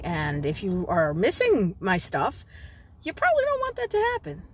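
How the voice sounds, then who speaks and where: A woman's voice: fairly high pitch, breathy edge. A woman in her forties from New York, vlogging casually from a parked car into a phone held at arm's length, chatty and given to digressions.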